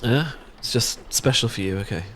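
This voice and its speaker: A man's voice: medium pitch, moderate pace, a bit bright, slight background noise, close to an everyday voice. A British man playing a shy, sweet best friend secretly in love, stammering and hesitant in a romantic ASMR audio roleplay. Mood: flustered, nervous.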